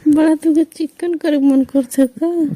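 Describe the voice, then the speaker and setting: A woman with a Bhojpuri accent speaks on a phone call, bantering playfully and scolding a little. Her voice is high but very mellow and dark. She keeps an even, flat intonation throughout. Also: slow pace. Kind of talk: casual phone chat.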